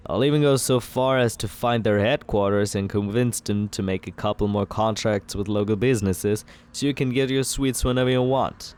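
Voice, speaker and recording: A man in an ASMR roleplay as a villain, talking smoothly and persuasively in a teasing, flirtatious way. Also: fairly low pitch, a little mellow, slight background noise.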